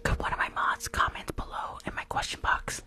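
A woman's voice: a little fast, pushed and bright, soft background music. A young woman in her twenties whispers breathily and affectionately to live stream viewers, close to the microphone, often repeating words in an ASMR style. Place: small room at home, indoors. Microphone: close furry-windscreen microphone.

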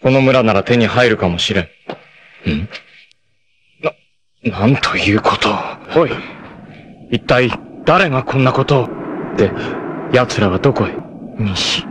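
A man voicing a proud, fierce young male martial artist in a 1980s anime, who moves from measured calm to raging shouts. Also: mid-range voice, slight background noise. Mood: grim, resolute. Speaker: anime voice actor. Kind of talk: 1980s anime dub acting.

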